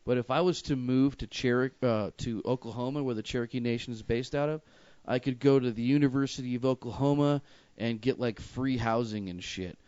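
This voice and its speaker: A man hosting a loose comedy stream, joking and swearing freely between fillers. His voice is mid-pitched, and the sound is dry and muffled, like a lo-fi stream. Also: fairly quick, a little mellow, clear, resonant tone, dry recording. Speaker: host. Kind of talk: casual comedy chat. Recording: dull lo-fi live stream audio.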